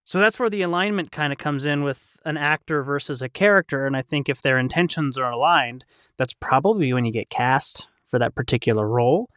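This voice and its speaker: A man's voice: medium pitch, fairly quick, fairly bright tone, smooth, no room sound, studio quality. A man hosting a casual panel discussion in a chatty, reflective, wordy way. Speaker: podcast host and voice actor.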